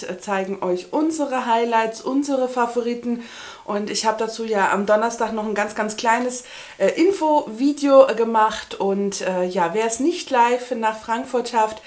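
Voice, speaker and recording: Chatty woman in her thirties, book vlogger. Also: fairly high pitch. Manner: chatty, informal. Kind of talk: casual chat to camera about books. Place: small indoor room with bookshelves.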